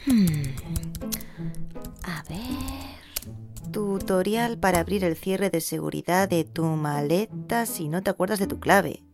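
An actress playing an eager, scatterbrained would-be pop singer in a comedic audio drama, thinking aloud and getting excitable. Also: female voice, on the low side. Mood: musing. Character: eager, scatterbrained young woman dreaming of a pop hit. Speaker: radio fiction actress.

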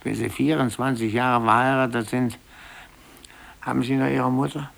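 A man acting a weary, bitter middle-aged office clerk in a 1950s German radio drama, brooding and halting, often repeating himself. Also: medium pitch, level tone, gravelly, a bit bright. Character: weary, bitter older male office clerk, disillusioned and restless. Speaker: actor in a radio play.

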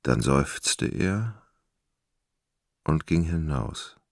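A man narrating a literary radio drama in a measured, deliberate way. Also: very deep voice, animated, vocal fry. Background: music.

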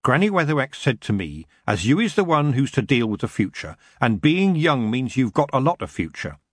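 A man's voice: medium pitch, very animated, moderate pace, no room sound, clean studio sound, pitched above the performer's own voice. A man doing a folksy old witch woman. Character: homely old woman witch with country speech.

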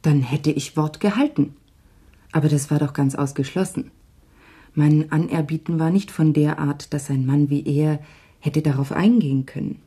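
An actress playing a frank, tender lady of old France in a radio play, speaking in a measured, heartfelt way. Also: deep voice, mellow, slightly rough. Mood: calm conviction.

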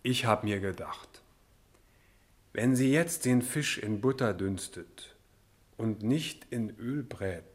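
An actor playing a placid, slow-witted hotel porter on trial, halting and matter-of-fact, in a satirical radio drama. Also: male voice, lowish voice, a little hiss or hum. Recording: reverberant hall.

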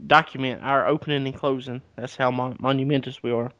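A man co-hosting a podcast, trading dry deadpan jokes in casual banter. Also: medium pitch, a little fast, no echo, muffled recording. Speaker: podcast co-host. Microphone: podcast mic.

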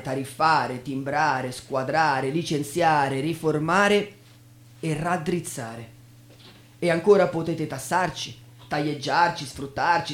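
A man declaims a stage monologue. His voice is fairly high for a male, and he lands each phrase emphatically, as if counting through a list. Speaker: stage performer. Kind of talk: theatrical spoken monologue.

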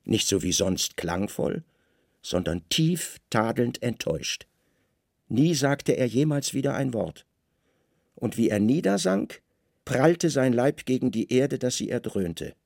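A male radio drama narrator tells a literary story in short, weighted phrases. His pitch moves freely and expressively, with gravelly fry in the voice, recorded close and dry. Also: big pitch swings, slow pace, darkish tone, no echo.

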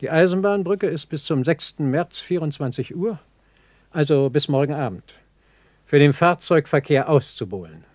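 An actor playing a brisk lieutenant general in a 1960s German radio play, speaking in a clipped, authoritative military manner. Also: male voice, a little high, lively intonation, darkish tone, no room sound, lightly acted. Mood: brisk, commanding. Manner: clipped, authoritative, matter-of-fact military delivery.

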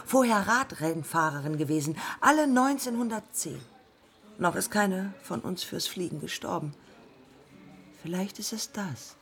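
An actress playing a defiant, haunted early woman pilot in a German radio play, speaking in terse, deliberate fragments. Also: mid-range voice, natural voice. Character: pioneer woman aviator, haunted, defiant, morphine-addicted.

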